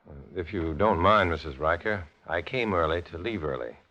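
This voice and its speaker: An American actor in a 1950s radio drama playing a cool, guarded undercover agent with a clipped, measured, insinuating delivery. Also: male voice, deep voice, sharp tone. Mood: cool, businesslike. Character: cool, guarded male undercover agent posing as Communist blackmailer. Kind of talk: 1950s American radio drama acting with first-person narration. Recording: dull, lo-fi old radio recording, music behind.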